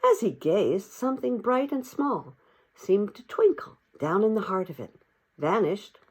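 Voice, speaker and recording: A woman's voice: mid-range voice, animated, unhurried, husky. A woman narrating a classic storybook expressively, as an audiobook reader.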